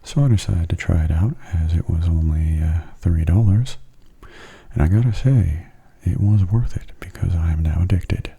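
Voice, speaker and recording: A man giving soft-spoken ASMR gameplay commentary in a hushed, breathy, unhurried and casual way, close to the microphone. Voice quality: breathy, husky low voice. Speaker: gaming ASMR video host. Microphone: close mono microphone.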